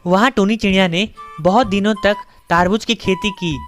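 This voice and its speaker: A woman's voice: mid-range voice, fairly even intonation, moderate pace, soft background music. A woman narrator reading a scripted children's story.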